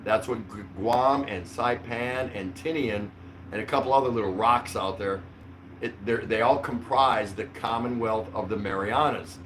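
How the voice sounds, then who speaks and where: An older man hosts a relaxed livestream from a small indoor room. He rambles conversationally with a joking, mildly sweary manner. A light layer of background noise sits behind his voice. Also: mid-range voice, normal speed, low-quality recording. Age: older, around 60. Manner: rambling, jokey, mildly profane. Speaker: livestream host. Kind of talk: casual livestream chat.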